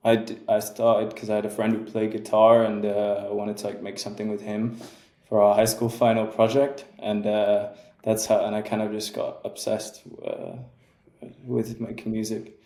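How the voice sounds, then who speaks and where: A young man in his twenties talks about making music in a relaxed, halting way, full of fillers and self-corrections. His voice is soft and mellow. The room around him is noticeably echoey. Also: fairly low pitch. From England. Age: 20s. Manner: hesitant, many fillers and restarts. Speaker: music producer guest. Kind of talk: casual interview chat.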